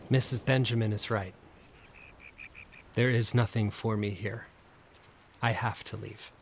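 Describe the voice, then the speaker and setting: An actor playing a troubled, haunted man, telling his story in a hushed, deliberate way in an audio drama. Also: fairly low pitch, slight background noise. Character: troubled, haunted man fleeing dread on island holiday. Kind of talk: audio drama acting with first-person narration.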